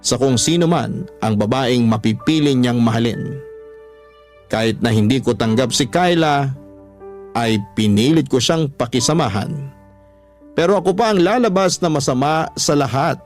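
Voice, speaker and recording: A man's voice: mid-range voice, animated, normal speed. A man reading listeners' letters as a dramatic first-person story, as a radio host. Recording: music under the voice.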